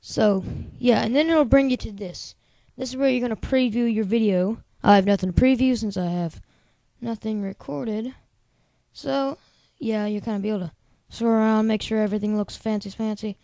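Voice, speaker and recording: Squeaky high-voiced guy, chatty. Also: fairly even intonation, normal speed, vocal fry, mellow, no room sound. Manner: rambling, chatty, lots of filler words. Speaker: tutorial host. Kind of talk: casual screen-recording tutorial.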